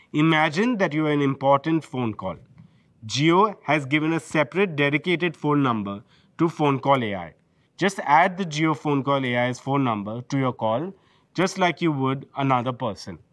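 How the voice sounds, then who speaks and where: A man's voice: a little high, lively intonation, normal speed, a bit bright, no room sound. A man with an Indian English accent giving a polished, scripted product presentation from behind a lectern. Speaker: corporate presenter. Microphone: lectern microphone.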